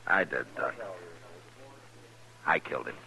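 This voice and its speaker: A man's voice: rumbling low, unhurried, creaky, a crowd in the background, exaggerated voice, poor audio. An actor playing a terse, unflappable lawman in a 1950s radio western. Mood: somber. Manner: terse, level, commanding. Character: stern, unflappable frontier marshal, a grown man.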